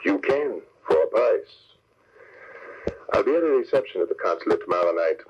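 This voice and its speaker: A cold, scheming spy ringleader, low in pitch, who talks in a measured, formal, persuasive way. The style is vintage radio thriller, with dull sound and faint noise. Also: male voice, big pitch swings. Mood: scheming, satisfied. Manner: smooth-talking, measured, formal. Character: scheming, cold-blooded male spy ringleader offering a deal. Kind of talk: 1940s radio crime serial acting. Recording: dull, noisy old radio broadcast recording.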